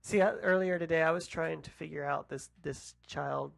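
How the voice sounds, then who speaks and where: A woman's voice: low voice, moderate pace, gravelly. A woman co-hosting a podcast, telling stories casually in a wry, playful way. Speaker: podcast co-host. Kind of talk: casual chat.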